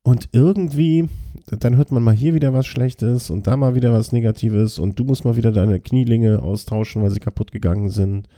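A man's voice: mid-range voice, normal speed, hardly any pauses, dark tone, no echo, studio quality. A man co-hosting a casual cycling podcast, joking and rambling with frequent restarts. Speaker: podcast co-host. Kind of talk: casual chat.

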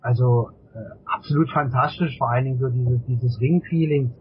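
A middle-aged man with a husky voice answers casually as an interview guest, often repeating words while he searches for phrasing. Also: medium pitch, fairly even intonation, moderate pace. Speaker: interview guest, amateur endurance cyclist. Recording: dull, lo-fi interview audio.

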